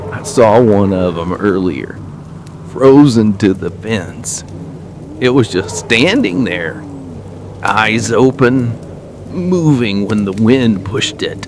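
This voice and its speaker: A man performs a desperate lone radio survivor in a frozen apocalypse. His lowish voice drags extremely slowly, haunted and halting, over clearly noisy storm ambience. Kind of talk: slow survival horror monologue.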